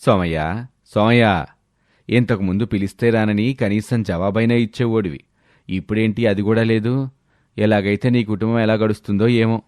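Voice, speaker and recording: A man voicing an exasperated working villager who nags a lazy friend, in an animated moral story. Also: fairly low pitch, moderate pace, no echo, studio quality, lightly acted.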